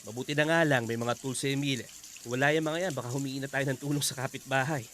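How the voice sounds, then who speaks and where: A man's voice: mid-range voice, fairly even intonation, fast talker, fairly bright tone, slight background noise, lightly acted. A man acting a helpful, modest, easygoing houseguest in a Filipino radio drama. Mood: modest, pleased.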